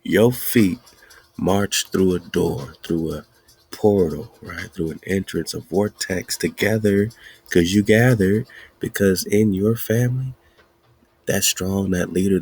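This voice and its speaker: A man's voice: sits low, takes it slowly, a little mellow, breathy edge. A man hosting a podcast, giving an emphatic, chanting, preaching-style teaching monologue, laughing often. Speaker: podcast host.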